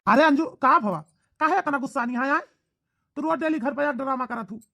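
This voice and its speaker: A man's voice: very high voice, a little fast, creaky, sharp tone, full character voice. A man voicing a proud, defensive young husband in a village cartoon, protesting and making excuses with an Awadhi accent. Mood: placating. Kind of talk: rustic cartoon comedy dialogue.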